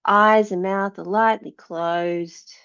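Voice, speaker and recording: A woman teaching yoga, leading a guided meditation in a calm, measured, soothing way with long pauses between phrases. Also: mid-range voice, vocal fry, smooth, highs cut off.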